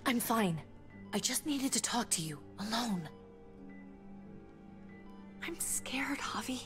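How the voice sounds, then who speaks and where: An actress playing a weary, anxious wife and survivor, speaking in a hushed, intimate way, in a cinematic video game. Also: female voice, fairly high pitch, animated, slow pace, over music. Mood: scared, pleading. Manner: hushed, often breathy near-whisper, intimate.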